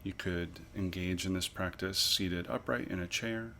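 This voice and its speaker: A man leading a guided body scan meditation as an instructor, with measured, pausing, gently instructive phrasing. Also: deep voice, slight background noise.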